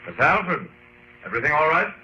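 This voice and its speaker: An actor playing a level-headed, commanding gentleman sleuth with an authoritative manner in an old radio drama. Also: fairly high pitch, very animated, brisk, heavily acted. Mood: calm, reasoning. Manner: reasoning, authoritative. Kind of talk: 1950s radio drama acting. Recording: lo-fi old radio, faint noise.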